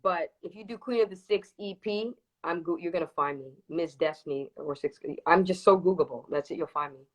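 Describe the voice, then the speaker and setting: Woman, low voice, from Toronto, interview guest, casual chat, emphatic repetition. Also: fairly quick, low-quality recording. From Toronto, Canada, with early childhood in Jamaica. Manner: emphatic, repeats words for stress. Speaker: interview guest, reggae dancehall singer. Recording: livestream video call.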